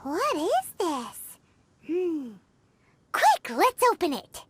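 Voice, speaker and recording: A woman voicing a tiny, chirpy, excitable girl companion in a video game, with a squeaky childlike voice. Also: extremely slow, a little hiss or hum, exaggerated voice. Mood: eager. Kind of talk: English video game voice acting.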